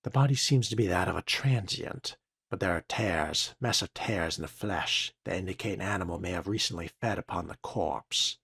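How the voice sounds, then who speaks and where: A man narrating a role-playing game in character and reading documents aloud, with a measured, formal, dry delivery. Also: low-pitched, fairly bright tone, husky, no room sound.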